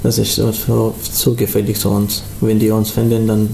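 A young man from Afghanistan, speaking German as an as a guest in a radio interview, halting and full of restarts. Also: fairly low pitch, monotone, vocal fry, mellow, echoey room, slight background noise, rough recording. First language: not German. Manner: halting, many restarts and fillers. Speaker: studio guest.

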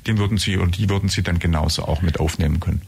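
A man's voice: low voice, steady tone, racing, creaky, a little mellow, breathy edge. A man conducting a conversational interview, who rambles and often searches for words with fillers. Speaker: radio interviewer.

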